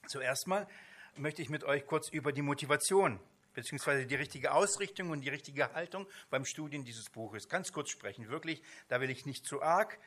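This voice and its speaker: Male Bible teacher, non-native speaker, sharp forceful voice, informal lecture delivery with halting rephrasing. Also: fairly high pitch. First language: not German.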